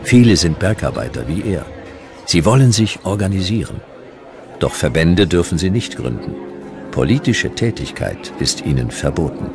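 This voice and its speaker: A man reading scripted history narration as a documentary narrator, in measured, dramatic short sentences. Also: sits low, big pitch swings, over music.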